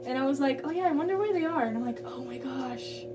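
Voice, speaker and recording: A woman's voice: high-pitched, fast talker. A young woman from Southern California chatting casually and giggling as she reads viewer comments while she works clay. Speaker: livestreamer. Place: home pottery studio. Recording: distant mic with music playing behind.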